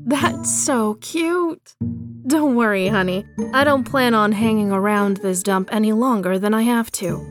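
A woman's voice: fairly high pitch, unhurried, music in the background, lightly acted. A woman playing a brash, condescending female bounty hunter in an audio drama, confident, smug and mocking.

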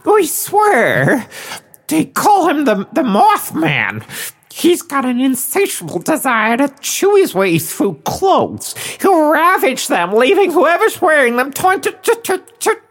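A man's voice: very high voice, huge pitch swings, slow pace, fairly bright tone, pitched above the performer's own voice, heavily acted. A man voicing a squawking, shifty chicken crook who stammers and pleads in a comic audio drama. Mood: shifty, nervous. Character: frantic, squawking male chicken crook, shifty petty criminal.

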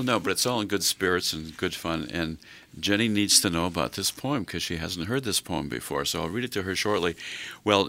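An older man hosting a radio talk show, chatting slowly in a folksy way with many fillers. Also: sits low, gravelly, bright, forceful tone, no echo.

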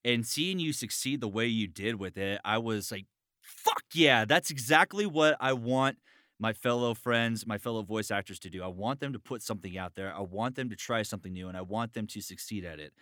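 A man's voice: on the low side, animated, a little fast, sharp tone, no echo, clean studio sound. A man chatting casually as host and voice actor, with an enthusiastic, encouraging, sweary manner. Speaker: interview show host and voice actor. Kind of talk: casual chat about voice acting.

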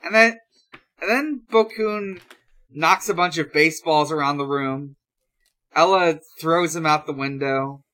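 Slow, bright-voiced podcast host, halting delivery. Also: medium pitch. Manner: halting, hesitant recounting. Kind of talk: casual episode recap chat.

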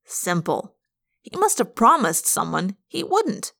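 A woman's voice: medium pitch, huge pitch swings, slow, gravelly, pushed and bright, exaggerated voice. A woman voicing a sardonic, accusing teenage boy in an audiobook, with a sneering, pressing delivery. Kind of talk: audiobook character voice.

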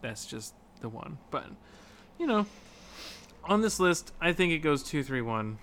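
Lively higher-pitched male podcast co-host. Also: measured pace. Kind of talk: casual video game podcast chat.

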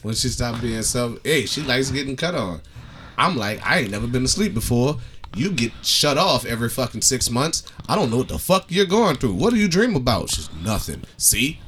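A man's voice: mid-range voice, very animated, moderate pace, a bit bright, a little hiss or hum. A man bantering casually as a podcast co-host, telling stories with profane humor. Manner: profane, joking storytelling.

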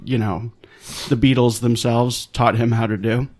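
A man hosting a casual podcast, loud and jokey, often breaking into laughter. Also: mid-range voice, steady tone, moderate pace. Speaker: podcast host.

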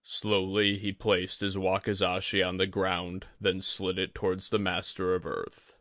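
A man reading a scripted fantasy story as an audiobook narrator, with dramatic, measured phrasing. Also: sits low, completely flat delivery, fairly bright tone, no room sound, studio quality. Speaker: audiobook reader.

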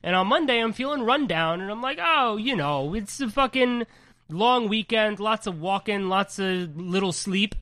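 A woman's voice: mid-range voice, expressive, normal speed, a bit bright. A woman co-hosting a casual podcast, rambling and swearing freely, with a congested voice from a recent cold. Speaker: podcast co-host.

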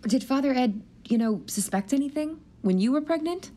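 A woman's voice: high-pitched, expressive, moderate pace, dark tone. A woman in her thirties playing an anxious, self-doubting new schoolteacher with a wry edge, in a naturalistic audio drama. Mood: uneasy. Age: 30s. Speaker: actor in an audio play.

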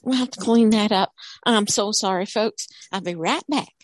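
A woman's voice: medium pitch, moderate pace, dry recording, clean studio sound, close to an everyday voice. A woman voicing a folksy, apologetic waitress who fusses and exclaims, in an improvised audio drama. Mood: flustered apologetic. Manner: fussy, exclaiming.

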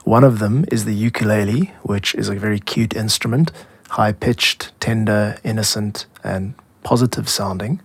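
A man with a South African accent hosting a podcast, explaining in a measured, scripted style. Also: fairly low pitch, fairly even intonation, slightly rough, dry recording. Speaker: podcast host, music composer and producer.

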